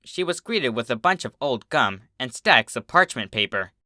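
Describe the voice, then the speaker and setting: A man reading fan fiction as a narrator, in an expressive scripted storybook style. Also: medium pitch, moderate pace, no echo, studio quality.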